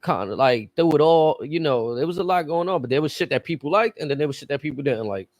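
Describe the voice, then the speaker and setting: A man's voice: a little high, animated, quick pace, a little mellow, no room sound. A man in casual podcast chat, blunt and profane, arguing his points. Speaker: podcast co-host.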